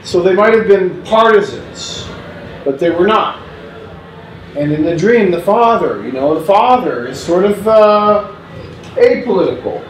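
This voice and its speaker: A man in his forties reads interview answers aloud in a measured, unhurried way, stopping to restart phrases, in a small indoor gallery room. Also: sits high, animated, a little hiss or hum. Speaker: performer reading interview notes. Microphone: far camera mic. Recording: roomy distant sound with faint ambience.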